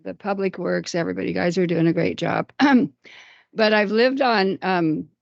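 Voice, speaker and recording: A woman resident speaking in a friendly, slightly halting way at a council meeting's public comment. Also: on the low side, gravelly, no room sound. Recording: dull lo-fi council chamber mic.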